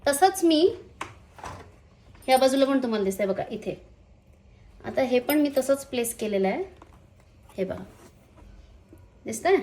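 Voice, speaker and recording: A woman speaks in a somewhat high-pitched voice. She presents a hands-on product demonstration in an informal vlog style. Her explanation is a little hesitant and sprinkled with English words. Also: expressive, a little slow, long pauses, mellow, slight background noise. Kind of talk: casual unboxing and installation demonstration.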